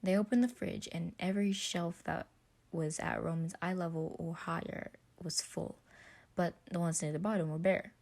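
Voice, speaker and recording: Low-pitched woman narrating a story. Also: fairly even intonation, normal speed, darkish tone. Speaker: podfic narrator. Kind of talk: scripted story narration, reading aloud.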